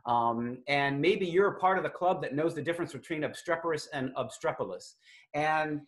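Lexicographer type, middle-aged, Boston accent, slightly high voice, thoughtful, on a video call. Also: male voice. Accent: Boston, non-rhotic. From Greater Boston. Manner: articulate, measured, with frequent fillers. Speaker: lexicographer guest. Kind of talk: thoughtful conversational explaining. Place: home study with bookshelves.

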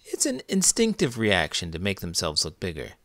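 A man narrating a children's story as read-aloud host, expressive and deliberate. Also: low-pitched, dry recording.

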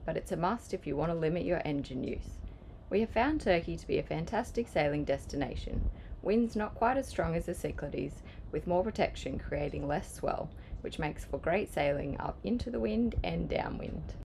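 A woman's voice: on the low side, lively intonation, normal speed, vocal fry, a little mellow, slight background noise. A woman reading a scripted voice-over for a sailing vlog.

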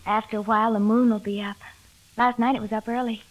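A woman playing a gentle, wistful, lonesome young woman, soft-spoken and plaintive, in a 1940s radio drama. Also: a little high, level tone, fast, dull audio, natural voice.

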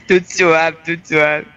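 A man's voice: a little high, muffled recording. A man in a game roleplay playing a mechanic, drawling and calling everyone rapaz. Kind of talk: in-character roleplay.

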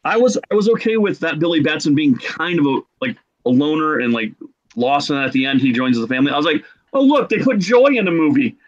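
A man's voice: fairly high pitch, animated, a little fast, dull audio. A middle-aged man chatting casually as a podcast guest on a video call, telling jokey stories and mimicking quotes. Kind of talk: casual group chat. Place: small room at home, video call.

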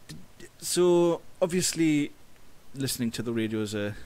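A man's voice: medium pitch, lively intonation, normal speed, darkish tone, rough recording. A man hosting a casual interview podcast, hesitant and often repeating words as he asks questions. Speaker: podcast host.

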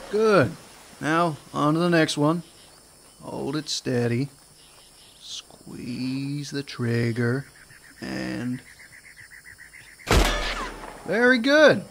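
An actor playing a gruff, blunt old-west marshal in a radio drama. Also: male voice, mid-range voice, lively intonation, very slow, long pauses, noisy background, exaggerated voice. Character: gruff weary male marshal in 1909.

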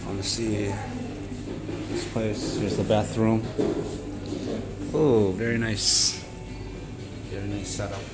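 A man casually vlogging over food in a restaurant, talking in a relaxed, halting way with fillers. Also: lowish voice, loud music behind the voice. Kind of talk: casual vlog chat. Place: busy restaurant. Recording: noisy restaurant ambience.

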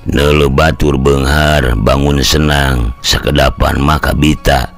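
A middle-aged man with a Sundanese accent telling a scripted story in an unhurried, folksy way. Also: rumbling low, steady tone, creaky, a bit bright. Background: faint music.

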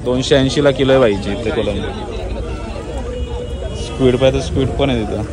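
A man's voice: mid-range voice, a little fast, very noisy. A young man with an Agri Marathi accent, chatting casually to viewers as he walks through an outdoor fish market and points out the fish and their prices. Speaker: vlogger. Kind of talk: casual walk-through vlog. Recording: loud market crowd behind. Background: loud crowd of traders and shoppers.

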